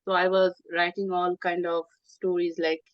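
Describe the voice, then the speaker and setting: Woman from bangladesh, bengali native, lowish voice, halting w lots of ums, dry dull sound. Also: little pitch movement, dry recording. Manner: hesitant, many ums, halting phrasing. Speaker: guest. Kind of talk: interview conversation.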